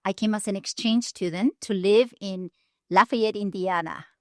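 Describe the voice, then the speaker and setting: A woman from Argentina, a nonprofit leader telling her story in an earnest, measured way with deliberate pauses. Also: mid-range voice, no room sound, clean studio sound. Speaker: guest, nonprofit CEO. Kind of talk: storytelling interview answers. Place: conference venue.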